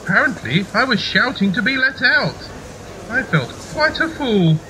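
A polite British naval captain, played by a man in a radio drama. His voice is high and animated, flustered but affectionate, with clear noise behind it. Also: moderate pace. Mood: affectionate, sheepish. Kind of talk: radio play acting. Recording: noisy amateur radio play recording.

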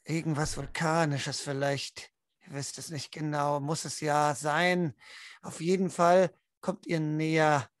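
A man narrating as game master, slowly painting scenes with dramatic, lingering words. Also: a little high, flat delivery, dry recording. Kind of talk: slow atmospheric storytelling. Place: indoor room at home, video call.

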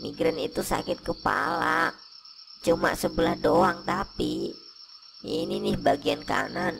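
A man voicing a grumbling male shroud ghost in a comic cartoon, gravelly and moaning in pain with slangy speech. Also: medium pitch, steady tone, a little slow, fairly bright tone, a little hiss or hum. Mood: pained, urgent. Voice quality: gravelly, creaky. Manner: groaning, complaining, slangy Jakarta-style speech. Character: grumbling pocong shroud ghost, adult male, suffering a migraine.

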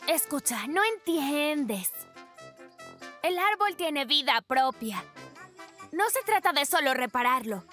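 Kids' cartoon bear cub, voiced by a woman: high, bright tone, sincere and gentle, deliberate delivery with a stubborn streak. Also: big pitch swings, soft background music, full character voice. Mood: worried. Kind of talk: dubbed children's cartoon acting.

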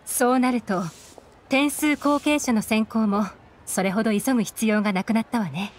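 An actress playing a composed, shrewd covert operative in a game, calm and measured with a faint edge of threat. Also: female voice, a little high, a little hiss or hum, close to an everyday voice. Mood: matter-of-fact. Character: cool, composed female covert operative, shrewd and self-assured. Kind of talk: Japanese game voice acting.

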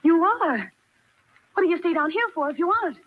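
A woman's voice: extremely high pitch, expressive, fairly quick. An actress playing a haughty, impatient, desperate trapped woman, in old-time radio horror style. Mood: desperate, demanding. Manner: insistent, imperious, sharp-tongued. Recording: dull, lo-fi old radio recording.

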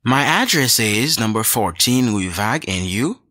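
A man reading scripted English dialogues and exercise questions for a learners' audio book, slow and clearly enunciated. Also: mid-range voice, expressive, sharp tone, no echo, studio quality. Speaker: textbook audio reader.

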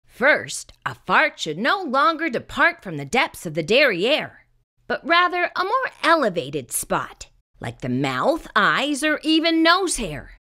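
A woman reading a children's picture book aloud in expressive, scripted narration. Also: high voice, a little slow.

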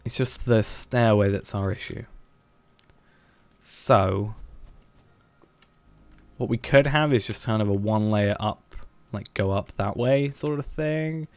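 A man's voice: on the low side, slow, pauses often, no room sound. A man streaming a building game, thinking aloud in a hesitant, musing way as he plans. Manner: musing, hesitant, planning out loud.

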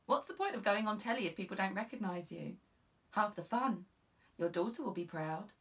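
A woman's voice: medium pitch, moderate pace, a bit bright, recorded from a distance, lightly acted. A woman voicing a chirpy, eager, chatty young female police constable in an audiobook, with a breezy, informal, encouraging manner. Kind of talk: audiobook character voice.

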